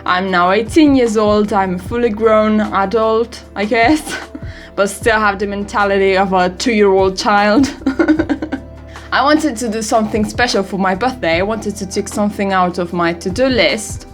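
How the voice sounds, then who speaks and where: A woman's voice: medium pitch, normal speed. Young woman, 18, non-native English speaker, giggly and rambling, chatty vlog, faint music underneath. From Europe. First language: not English. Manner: giggly, rambling, self-deprecating. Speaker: vlogger. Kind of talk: casual birthday vlog chat.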